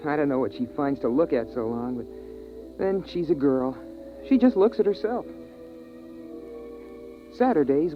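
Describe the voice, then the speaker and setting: A man's voice: a little high, muffled recording, natural voice. An actor playing a curious, matter-of-fact young boy with an American accent in a 1950s radio drama, speaking plainly and artlessly. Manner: plain, artless, boyish storytelling. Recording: vintage band-limited radio recording, music behind.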